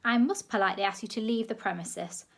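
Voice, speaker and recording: A woman's voice: medium pitch, quick pace, vocal fry. A woman voicing a stiff, achingly posh male zoo director whose R's come out as W's. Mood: pompous. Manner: pompous, thundering.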